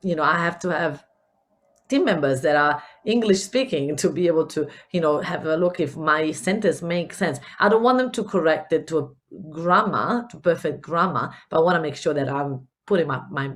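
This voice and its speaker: A middle-aged Italian woman with a mixed accent that carries a Spanish touch. Her voice sits on the low side, and she talks earnestly and encouragingly, doubling up words as she hunts for them. She is hosting a solo business podcast. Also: lively intonation, moderate pace. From Italy. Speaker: podcast host, business coach.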